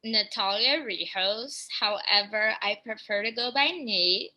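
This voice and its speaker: A woman of twenty-one giving matter-of-fact interview answers in a measured way. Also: medium pitch, pushed and bright, low-quality recording.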